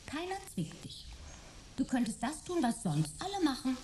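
A woman's voice: high-pitched, very animated, moderate pace, darkish tone, a little hiss or hum. A woman playing a spirited young adventurer in a sci-fi radio drama, gushing, teasing and insistent. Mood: teasing, defiant. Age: young adult. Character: spirited, enthusiastic, headstrong young woman, detective's friend.

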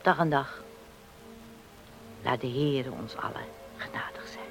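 A woman's voice: very deep voice, measured pace, loud music behind the voice. An actress playing a strong-willed, outspoken farm widow and mother in a radio drama, teasing and defiant. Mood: brooding.

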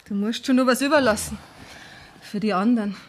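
Actress as a high-voiced saucy Bavarian girl. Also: a little fast, natural voice. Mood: teasing, mercenary. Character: saucy, mercenary girl of about seventeen, brazen and unbothered. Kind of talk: 1970s German radio play acting in dialect.

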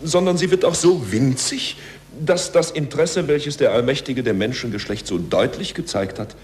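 An actor playing an urbane, authoritative, eloquent cardinal in a 1940s German radio play, on an old recording. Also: male voice, mid-range voice, moderate pace, a little mellow, close to an everyday voice. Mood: grave, admonishing. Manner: eloquent, rhetorical. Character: urbane, worldly cardinal, courteous yet firmly authoritative.

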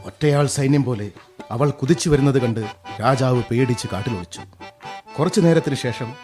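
A man's voice: medium pitch, lightly acted. A man playing the storyteller of a legend, in a dramatic scripted radio narration style.

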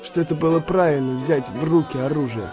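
An actor playing a shell-shocked, brooding young British sailor in a wartime audio drama, speaking in halting, emotional monologue. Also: male voice, on the high side, lightly acted. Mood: angry, searching. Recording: music under the voice.

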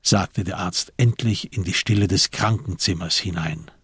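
A man narrating a radio drama from a script, in a measured literary storytelling manner. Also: low voice, a bit bright, slightly rough, dry recording, clean studio sound.

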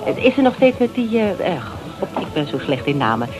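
A woman's voice: fairly low pitch, very animated, brisk, over music, low-quality recording. An actress playing a secretive, tough, self-assured female snoop with a wry, probing manner in a radio drama. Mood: probing, scatterbrained. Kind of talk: Dutch radio drama acting.

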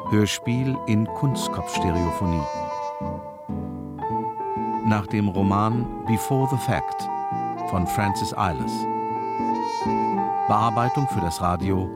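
Guy announcing radio play credits, slow n low, loud guitar music under him.

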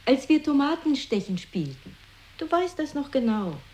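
A woman's voice: high voice, big pitch swings, normal speed, darkish tone, slight background noise. An actress in an experimental radio play, playing a coaxing, teasing woman who begs her companion to stay.

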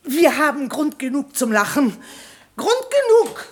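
An actress playing a sharp-tongued, distrustful middle-aged bourgeois wife in a radio comedy, dry and sardonic. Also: female voice, high-pitched, sing-song, measured pace. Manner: tart, dry, muttering warnings. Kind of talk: 1960 German radio play acting of classic comedy. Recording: faint noise, old radio recording.

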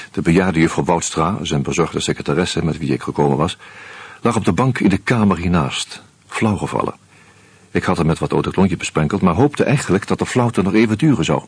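A man narrating a radio drama as a weary man investigating a murder, in a measured, grim, low-key way. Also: husky, dry recording, full character voice. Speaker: first-person narrator and detective figure.

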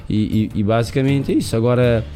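A man's voice: medium pitch, a little fast, mellow, faint music behind. A man from Cape Verde, a guest on an interview, who talks emphatically and repeats words while searching for them. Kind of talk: interview conversation.

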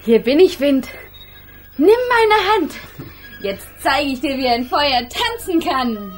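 A high, swooping girl's voice brings an impetuous fire sprite to life in a children's radio play. She is eager and defiant, exclaiming and commanding in slow sing-song phrases, with some music behind. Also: very animated, exaggerated voice. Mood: excited.

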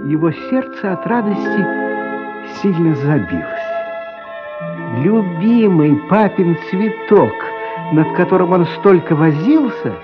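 A man playing the expressive narrator of a radio play, telling a childhood story in a theatrical way that savours each phrase. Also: high voice, takes it slowly, muffled recording, loud music behind the voice. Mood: savouring, dramatic.

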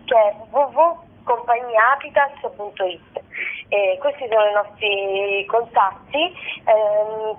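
A woman giving a spontaneous, enthusiastic radio interview as a festival co-director, wordy and halting, with repeated words. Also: on the high side. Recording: tinny, narrow telephone line on radio.

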